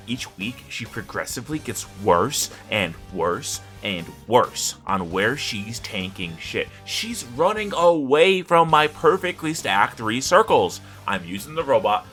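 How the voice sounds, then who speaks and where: A man's voice: mid-range voice, lively intonation, measured pace, few pauses, a bit bright, faint music behind. A man co-hosting a podcast in casual chat, with an emphatic, sweary manner. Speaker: podcast co-host.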